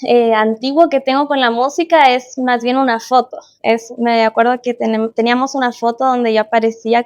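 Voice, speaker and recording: A woman's voice: fairly high pitch, dull audio. A woman in her late twenties with a Chilean accent, chatting casually as a podcast guest about her own life. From Chile, born in Guatemala. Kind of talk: casual interview chat, personal reminiscence.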